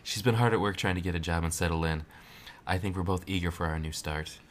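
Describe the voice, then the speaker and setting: A man voicing a young adult male fox ex-pilot who is earnest and guilt-ridden, speaking softly and hesitantly. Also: deep voice, fairly even intonation, fast talker, natural voice. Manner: soft-spoken, hesitant, stammers. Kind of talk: fan audio drama reading.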